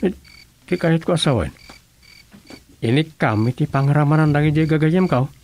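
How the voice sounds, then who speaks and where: Man acting a whiny, creaky comic village husband. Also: a little high, normal speed, darkish tone, natural voice. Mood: hushed. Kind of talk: radio comedy drama acting.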